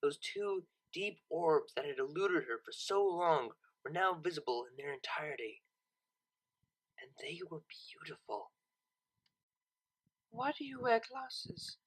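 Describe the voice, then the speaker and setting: A narrator reading a scripted story expressively, with dramatic, deliberate phrasing. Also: mid-range voice, a bit bright, poor audio. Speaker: narrator, audiobook reader.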